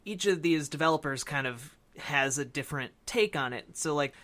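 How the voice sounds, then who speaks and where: A man's voice: on the high side, expressive, moderate pace, fairly bright tone. A man co-hosting a casual podcast discussion, feeling out his phrases with lots of "like". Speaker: podcast co-host. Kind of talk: casual discussion of a film and games.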